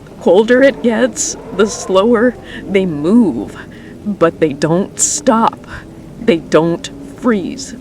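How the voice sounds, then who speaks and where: A woman playing a weary emergency radio announcer in an apocalypse radio drama, speaking in a grave, deliberate manner. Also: mid-range voice, animated, a little mellow, slight background noise. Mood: grave, steady. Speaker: radio news broadcaster. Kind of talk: scripted radio drama broadcast.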